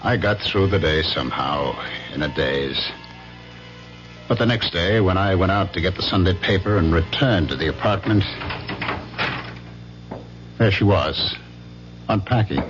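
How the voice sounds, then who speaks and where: A brooding, jealous husband in an old radio drama. His voice is low and swings expressively as he tells his story with dramatic pauses. The broadcast is muffled, with music. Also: expressive. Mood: brooding, tense. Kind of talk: 1970s radio drama acting, first-person narration.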